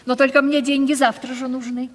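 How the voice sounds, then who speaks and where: A woman's voice: sits high, little pitch movement, a little fast. An actress playing a vain, domineering aging provincial lady in a Russian radio play, with a theatrical, imperious manner. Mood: bargaining. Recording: reverberant 1970s radio play recording.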